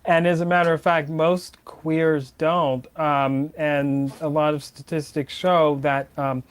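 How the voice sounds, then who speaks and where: A man's voice: a little high, level tone, slow, clear, resonant tone. Earnest man in an old documentary. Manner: earnest, stumbling on repeats. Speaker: interviewee in an archive documentary. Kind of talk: interview talk.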